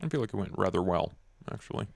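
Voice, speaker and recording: A stoic male vampire, played by a man at a roleplay table, speaks very low with a gravelly creak. He is terse, formal and candid, delivering everything deadpan. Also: fast talker, no echo, full character voice. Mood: frank, calm.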